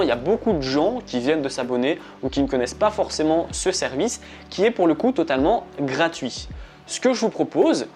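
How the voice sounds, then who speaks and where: A man's voice: on the high side, a little mellow. A man speaking directly to viewers as a trading coach, giving a conversational promotional explainer with repetition and rhetorical questions. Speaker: trading coach and video presenter.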